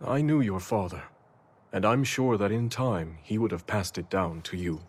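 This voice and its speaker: Deep, husky noble king. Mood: measured, reassuring. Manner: formal, measured, stately. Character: noble, regal king, resolute and protective. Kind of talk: video game cutscene acting.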